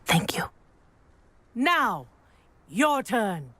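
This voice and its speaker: An actress playing a strict, brisk flying professor at a wizard school in a video game, with firm, clipped authority. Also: female voice, on the high side, sing-song, a bit bright, no echo, exaggerated voice. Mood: brisk, instructive. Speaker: flying instructor.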